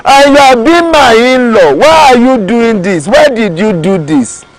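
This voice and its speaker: A betrayed male snail farmer from a spoken folktale, exclaiming and accusing in a raised, high voice, as a Nigerian storyteller puts him on. He speaks with a Nigerian accent, his pitch climbing and swinging widely. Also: lively intonation, unhurried, soft background music, exaggerated voice, rough recording.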